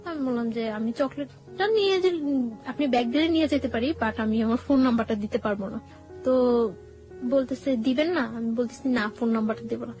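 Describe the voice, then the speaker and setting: A woman with a Bangladeshi accent tells a personal story conversationally, halting and repeating phrases as she goes. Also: a little high, darkish tone, low-quality recording. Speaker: guest telling her life story. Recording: music bed behind the voice.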